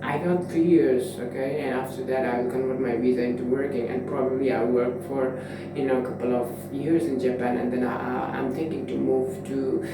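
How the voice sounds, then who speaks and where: A young man from Nepal muses aloud in his twenties, wandering through thoughts with endless fillers and false starts. His voice has a gravelly edge and sits far from the mic in a strongly echoing room, with steady background noise. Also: medium pitch, moderate pace. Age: 20s. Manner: rambling, full of "you know" fillers and restarts. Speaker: vlogger. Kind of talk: casual reflective monologue.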